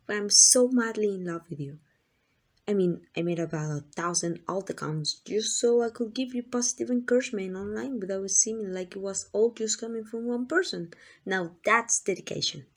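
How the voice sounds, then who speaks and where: A woman playing a lovesick, possessive female kidnapper in an intimate ASMR roleplay, doting and rambling in a flustered, coaxing way. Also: medium pitch. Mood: infatuated, possessive. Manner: coaxing, rambling, hesitant asides.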